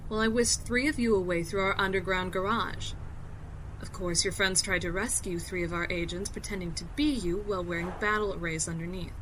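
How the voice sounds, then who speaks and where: An actress playing a commanding fleet security captain in a science fiction audio drama, clipped and falsely polite. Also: female voice, medium pitch, a bit bright, slight background noise, close to an everyday voice, low-quality recording. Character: commanding fleet security captain, woman, smug and coolly menacing.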